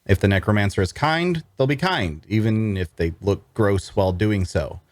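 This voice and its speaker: A man's voice: fairly low pitch, lively intonation, normal speed, no echo, lightly acted. An actor playing a sardonic necromancer antihero, narrating his own quest conversationally with dry humor and rhetorical asides. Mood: dry, matter-of-fact. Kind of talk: first-person dramatic narration at a script table read.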